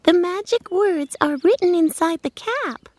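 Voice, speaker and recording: A woman voicing a tiny, kindly queen of the field mice in a storybook reading, squeaky and sing-song. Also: animated, unhurried, a little mellow, no echo.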